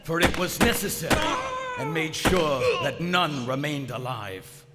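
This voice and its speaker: An actor playing a proud, cold German submarine captain of the First World War, formal and measured, narrating and speaking in live radio theater. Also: male voice, fairly high pitch, loud music behind the voice, close to an everyday voice. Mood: grim, detached. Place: on stage in a large theater. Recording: echoey theater hall, live stage recording.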